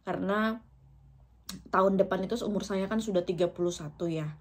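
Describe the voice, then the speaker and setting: A woman in her thirties from Indonesia speaks straight to her viewers in a personal vlog. Her voice sits at an ordinary middle pitch. She talks in an open, emotional way and laughs often as she speaks. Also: a little fast. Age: 30s. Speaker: vlogger.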